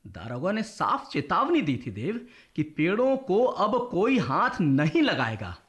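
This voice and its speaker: An urgent village doctor in a radio drama. His high voice rises and falls sharply as he presses his points, combative and emphatic. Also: wildly swooping pitch, a little slow, a bit bright, full character voice. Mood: indignant. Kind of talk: Hindi radio play acting.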